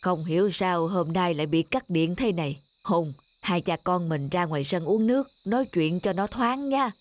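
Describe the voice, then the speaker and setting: A woman voicing a grave, kindly elderly father in a cartoon, giving measured counsel. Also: fairly low pitch, close to an everyday voice. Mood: concerned. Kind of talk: scripted cartoon dialogue.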